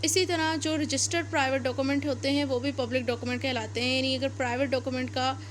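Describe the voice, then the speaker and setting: A woman's voice: high voice, steady tone, fast. A Pakistani woman giving an explanatory law lecture, restating each point in a didactic way. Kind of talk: explanatory lecture, reading clauses then explaining. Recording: faint background noise.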